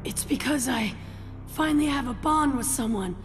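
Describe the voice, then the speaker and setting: A woman voicing a fierce, loyal, hot-headed teenage boy ninja in an anime game dub, forceful and impassioned. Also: high-pitched, moderate pace, long reverb tail, faint music behind, close to an everyday voice. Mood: earnest, resolute.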